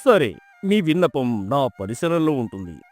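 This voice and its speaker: A man's voice: medium pitch, big pitch swings, measured pace, soft background music. A man playing a stern, authoritative king in a dramatised folk tale, with a commanding, declamatory manner. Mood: stern, questioning.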